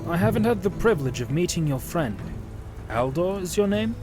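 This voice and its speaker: Young knight commander performed by a man, raised lively pitch, earnest and mannerly, music underneath. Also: brisk. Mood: courteous, proud. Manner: formal, courteous.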